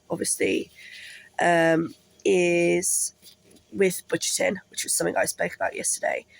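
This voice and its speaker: A woman vlogging casually while congested with a cold, apologetic and rambling, with many ums and pauses. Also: fairly low pitch, gravelly, dry recording. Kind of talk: casual talk to camera. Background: washing machine running.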